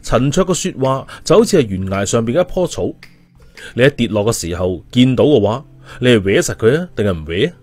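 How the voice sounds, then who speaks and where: Male narrator, expressive storytelling, animated pitch, soft background music. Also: fairly quick. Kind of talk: expressive audiobook narration with character voices.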